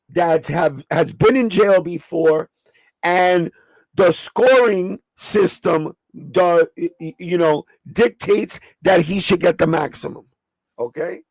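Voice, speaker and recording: A man with a raspy voice, hosting a casual livestream and breaking things down step by step in a streetwise, profane way. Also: high-pitched, no echo, rough recording. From New York. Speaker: livestream host.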